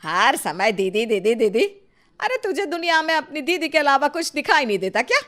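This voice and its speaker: Woman voicing a bossy, scolding Indian mother-in-law, high-pitched. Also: big pitch swings, normal speed. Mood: angry, scolding. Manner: sharp, bossy, nagging. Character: scolding, scheming, domineering mother-in-law of two daughters-in-law.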